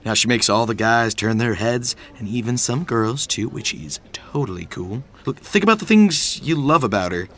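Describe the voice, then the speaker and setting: An actor playing a crude but well-meaning young buddy in a comic audio drama, rambling, blunt and slangy. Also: male voice, mid-range voice, expressive, moderate pace, hardly any pauses, a bit bright. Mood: eager, rambling. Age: young adult. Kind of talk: audio drama romantic comedy acting.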